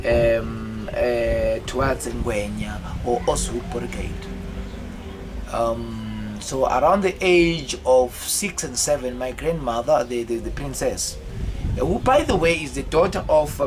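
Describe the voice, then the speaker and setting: A South African man in his fifties telling his life story in a rambling way, halting often with fillers and repeated words. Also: mid-range voice, music in the background, poor audio. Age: 50s. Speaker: autobiographical vlogger.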